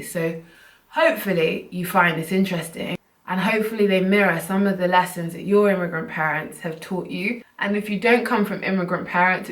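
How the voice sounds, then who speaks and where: Croaky young woman vlogging. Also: on the low side, fairly bright tone. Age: young adult. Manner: chatty, earnest, loose run-on phrasing. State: croaky, short of breath from illness. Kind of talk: casual talk to camera. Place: room at home. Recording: roomy, from a distance.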